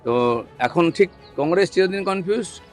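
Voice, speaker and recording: A man's voice: high voice, expressive, normal speed, soft background music. A man speaking off the cuff as a politician making remarks to the press.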